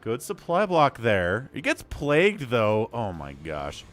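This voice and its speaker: A man calling a live strategy game match solo, his voice a little hoarse and stuffy from a cold, swinging from calm analysis to loud exclamations. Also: medium pitch, slow pace. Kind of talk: live esports play-by-play commentary.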